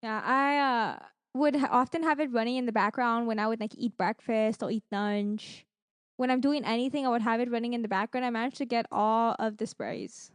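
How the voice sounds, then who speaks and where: A woman's voice: a little high, brisk, clear, resonant tone, no echo, clean studio sound. A woman co-hosting a podcast in casual chat, rambling along and acting out little stories as she retells them. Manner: rambling, animated retelling with acted-out quotes. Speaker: podcast co-host. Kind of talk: casual chat about gaming streams and giveaways.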